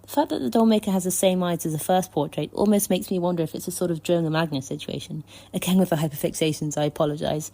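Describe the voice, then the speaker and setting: A woman reading prepared fan commentary in a measured, articulate and thoughtful way. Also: lowish voice, vocal fry, dark tone. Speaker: listener contributor sending a voice message.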